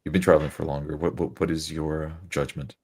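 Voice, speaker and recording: An awkward novice male adventurer with a very deep voice, acted out by a man. He is deferential and stammers hesitantly, as in a tabletop fantasy game. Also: little pitch movement, fast talker, darkish tone, slightly rough. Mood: unsure, deferential.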